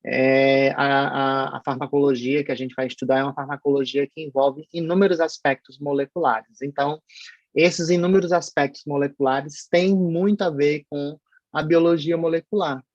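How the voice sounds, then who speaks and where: A man's voice: fairly high pitch, steady tone, normal speed, no room sound. Northeastern brazilian guy from paraíba lecturing online, keeps restarting, muffled dry laptop mic. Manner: hesitant, many fillers and restarts, explanatory. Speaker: pharmacology lecturer.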